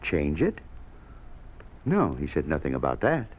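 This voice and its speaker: An indignant, easily unsettled union man in an old radio drama. The husky voice swings very widely in pitch as it gets defensive. The recording is dull and muffled. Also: measured pace, heavily acted. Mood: flustered, indignant. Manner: defensive, excitable. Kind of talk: 1950s radio drama acting.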